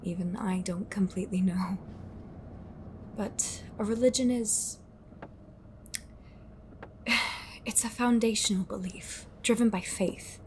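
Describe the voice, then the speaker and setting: Actress as haunted, secretive woman for audio drama: cryptic, grave, unhurried delivery. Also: mid-range voice, a little mellow, slight background noise, close to an everyday voice. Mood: somber, explaining. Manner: cryptic, slow, deliberate, sighing. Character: haunted, secretive woman fighting a sinister occult group. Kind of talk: audio roleplay drama acting.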